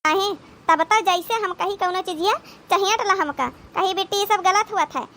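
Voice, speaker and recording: Woman acting a shrill Awadhi village sister-in-law. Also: normal speed, fairly bright tone. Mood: worried, resigned. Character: scolding but protective village sister-in-law in an Awadhi family cartoon. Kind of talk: dramatic comedy cartoon dialogue.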